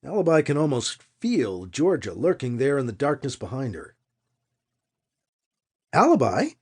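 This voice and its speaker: A man's voice: medium pitch, big pitch swings, moderate pace, a little mellow. A man reading fiction aloud as an audiobook narrator, in a dramatic scripted storytelling style.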